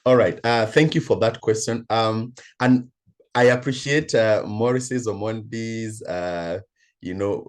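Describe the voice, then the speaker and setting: Low-pitched Kenyan man, deliberate speaker. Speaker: guest speaker and coach. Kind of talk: motivational talk in an online session.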